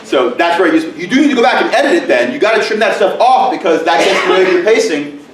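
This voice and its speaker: A middle-aged male teacher giving a conference presentation in a loud, booming voice with joking asides, recorded from far off in a large room. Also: lively intonation, very fast, echoey room, background noise. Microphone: camera mic from far off.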